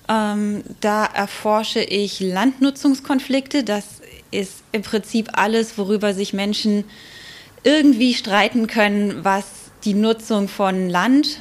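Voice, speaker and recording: A woman speaking as an expert guest, explaining spontaneously in an interview in a measured way with hesitant pauses. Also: medium pitch.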